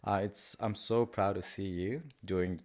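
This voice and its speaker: A man, a guest in a casual interview, talking in a hesitant, halting way with many pauses. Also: sits low, steady tone, darkish tone. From Nepal. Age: 30s. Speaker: guest, artist and kids entertainer.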